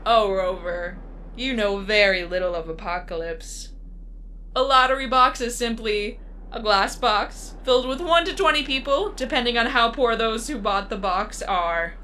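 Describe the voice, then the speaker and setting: A woman's voice: a little high, moderate pace, pushed and bright, slight background noise. A woman playing a disembodied, coolly menacing female announcer of a deadly arena game in an audio drama, composed and instructive. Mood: condescending, detached. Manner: composed, instructive, matter-of-fact about death. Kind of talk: scripted audio drama acting.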